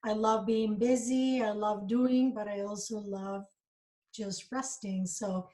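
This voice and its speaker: A woman's voice: mid-range voice, steady tone, slow pace, mellow. A middle-aged woman from Costa Rica, a guest in a casual interview, talking in an earnest, reflective, upbeat way about her life and beliefs.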